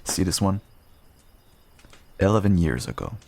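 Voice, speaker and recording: A man playing a guarded but kind half-wolf rogue in an intimate fantasy audio roleplay, unhurried and dryly understated. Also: lively intonation, darkish tone, heavily acted. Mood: guarded, caring. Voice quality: low, gravelly, husky. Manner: unhurried, dry, understated, self-deprecating.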